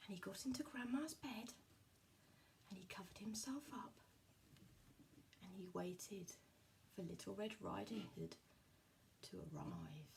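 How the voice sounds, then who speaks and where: A woman telling a children's puppet story in animated fashion, with simple, repetitive phrasing aimed at small children. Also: medium pitch, unhurried, gravelly, pauses often, slight background noise.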